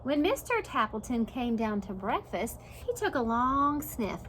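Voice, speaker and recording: A woman's voice: fairly high pitch, big pitch swings, measured pace, fairly bright tone, slight background noise. A woman preschool teacher reading a picture book aloud and stopping to explain it simply for young children. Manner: simple explanatory asides, dramatized story reading.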